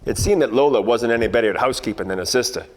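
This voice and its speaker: A man's voice: mid-range voice, rapid-fire, close to an everyday voice. A man playing a wisecracking, cocky 1940s private detective with wry, world-weary slangy delivery in a radio noir parody. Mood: wry narration. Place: theatre production shot before green screen. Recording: echoey hall, faint noise.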